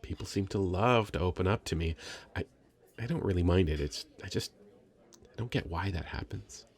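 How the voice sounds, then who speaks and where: A sweet, nervous young nerdy guy, acted by a man in an intimate ASMR roleplay. His voice sits low, with a creaky, husky grain. He stammers and rambles, shy and self-conscious as he talks to a stranger. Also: normal speed. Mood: shy, earnest. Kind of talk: scripted ASMR roleplay, intimate conversational acting.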